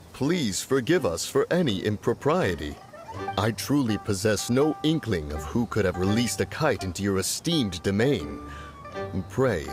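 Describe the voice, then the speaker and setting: A pompous, unhurried immortal in a low, deep voice. His wording is archaic and ceremonious, in the manner of a fantasy video game, with music behind him. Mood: deferential. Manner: stately, archaic formal diction.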